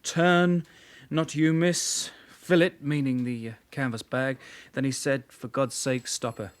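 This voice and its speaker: A man's voice: mid-range voice, flat delivery, measured pace, clean tone, natural voice. A man acting an earnest young detective constable in a radio drama, reporting facts with small hesitations. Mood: factual.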